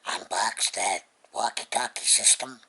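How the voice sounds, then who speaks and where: A vlogger with a very husky, breathy, gravelly voice that often drops near a whisper, chatting casually and rambling along at home. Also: medium pitch, a little slow, sharp tone. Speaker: vlogger, host of the channel. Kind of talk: casual unboxing vlog chat. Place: indoors at home.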